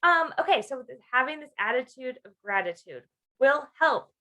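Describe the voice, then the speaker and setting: A woman with a bright, fairly high voice co-hosts a casual podcast. Her delivery is very lively in pitch, with playful banter and jokes at her own expense. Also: normal speed. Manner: animated, playful, self-teasing banter. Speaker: podcast co-host.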